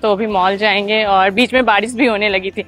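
A woman in her thirties giving a chatty travel vlog tour with a lapel mic, pausing with "uh" as she explains things to viewers. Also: medium pitch, steady tone, fairly bright tone, soft background music. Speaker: travel vlogger. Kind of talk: casual travel vlog narration. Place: outdoor theme park. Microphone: clip-on lapel mic.

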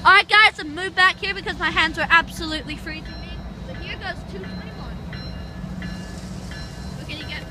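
A woman filming trains on a station platform with a handheld phone, giving excited live commentary and whooping as freight trains pass. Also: very high-pitched, moderate pace, pauses often. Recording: loud train noise, outdoor phone audio. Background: loud passing freight trains.

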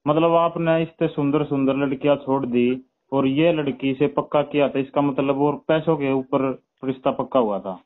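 A man's voice: medium pitch, fairly even intonation, moderate pace, hardly any pauses. Tinny phone call, young Haryanvi man. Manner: questioning, presses his point. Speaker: bride's brother in a family dispute. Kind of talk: argumentative phone conversation. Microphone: telephone.

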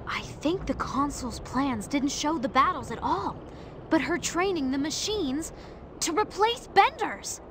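A woman's voice: high voice, expressive, measured pace, slight background noise. An actress playing a sensible, determined teenage girl in a cartoon game, earnest and assertive. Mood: thoughtful.

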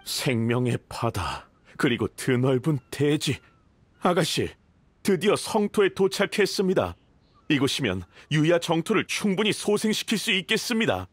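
A man's voice: a little high, very animated, moderate pace. A man voicing a dignified raven familiar in an anime game, formal and deferential to his lady. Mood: courtly.

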